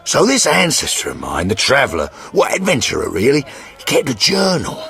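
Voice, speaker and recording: A man's voice: mid-range voice, wildly swooping pitch, a little fast, vocal fry, pushed and bright, exaggerated voice. A man voicing a crude, boastful yet sentimental mercenary sergeant in a fantasy video game, with blustering theatrical delivery. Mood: drunken affection.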